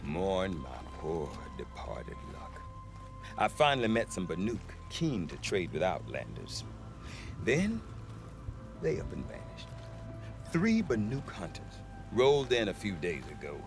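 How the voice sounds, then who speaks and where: Wily, sentimental male merchant for a fantasy game: lowish pitch, very expressive, wry self-justifier. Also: a little slow, over music, heavily acted. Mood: wry, grumbling. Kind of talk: video game cutscene acting.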